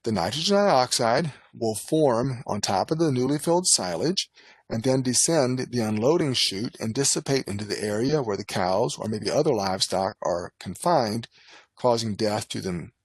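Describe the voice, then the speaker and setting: A man narrating a scripted medical lecture as an instructor, in a formal, measured, instructional manner. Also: mid-range voice, animated, husky, dry recording. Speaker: lecturer, nurse and poison specialist.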